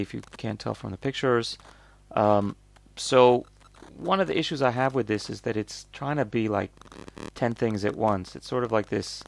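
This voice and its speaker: A man's voice: lowish voice, gravelly, no room sound. A man giving casual, off-the-cuff commentary as a review host, hesitant and thinking aloud as he hedges his points. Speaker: host reviewing crowdfunding projects.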